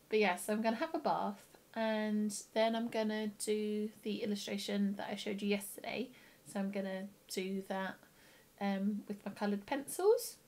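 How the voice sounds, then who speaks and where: A young woman with a croaky, tired voice, chatting casually to camera in her home studio. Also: medium pitch. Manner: chatty, rambling, with soft laughs. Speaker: illustrator vlogger. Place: home studio room with plants.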